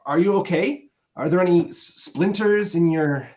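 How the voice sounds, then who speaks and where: A man's voice: high voice, a little mellow, dull audio, natural voice. A man acting a flustered, apologetic character in a dramatic script reading, speaking hesitantly. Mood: worried, unsure. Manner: hesitant, halting. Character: apologetic, flustered man fussing over a woman.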